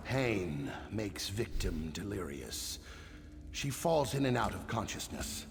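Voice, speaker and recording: An actor voicing a grim, clinical observer in a fantasy video game, with a gravelly, drawn-out delivery. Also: male voice, fairly low pitch, very animated, long reverb tail, noisy background, exaggerated voice. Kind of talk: video game character dialogue.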